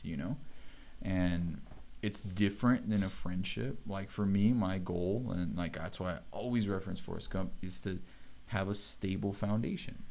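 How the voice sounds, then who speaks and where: Casting: young male host, very low voice, loose rambling chat with frequent laughs. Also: vocal fry, darkish tone. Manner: laid-back, rambling, laughs often. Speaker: show host. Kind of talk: casual livestream chat.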